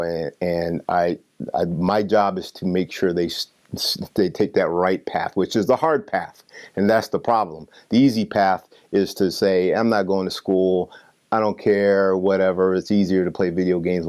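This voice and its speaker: Deep voiced guy talking about mentoring work, interview, repeats stuff to make the point. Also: fairly even intonation, moderate pace, darkish tone. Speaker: youth mentor. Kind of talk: interview, explaining his work.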